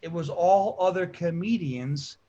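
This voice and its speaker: A man's voice: high-pitched, measured pace, dark tone, slight background noise. A middle-aged man hosting a comedy show, telling stories in a rambling way and repeating himself. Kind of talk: casual chat. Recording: dull lo-fi sound.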